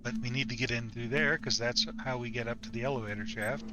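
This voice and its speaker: A man's voice: mid-range voice, steady tone, fast talker, heavy background noise. A man hosting a let's play, giving casual gameplay commentary and reading in-game text aloud. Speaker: let's play video host.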